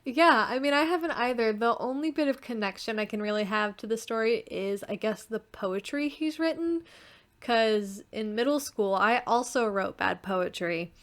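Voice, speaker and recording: A woman's voice: on the high side, normal speed. A woman talking casually as a writer on a podcast, in a hesitant, reflective manner. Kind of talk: casual chat.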